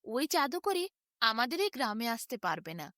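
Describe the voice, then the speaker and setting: A woman's voice: fairly high pitch, sing-song, moderate pace, bright, forceful tone, dry recording, clean studio sound. A woman voicing a kind, brave young village girl in a storybook, earnest and confident. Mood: reassuring, proud. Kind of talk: storybook character voice.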